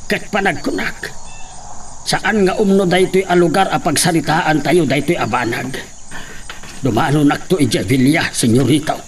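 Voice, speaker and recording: A man's voice: fairly high pitch, normal speed, close to an everyday voice. An actor playing a poor father who is wary and protective of his daughter, with faint insect noise. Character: poor worried father, older farmhand.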